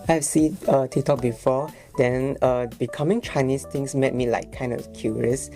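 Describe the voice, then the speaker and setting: Gravelly-voiced young man from Malaysia. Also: medium pitch, fairly even intonation, dark tone, faint music behind. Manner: halting, repeats himself. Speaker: guest, university student. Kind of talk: casual podcast chat.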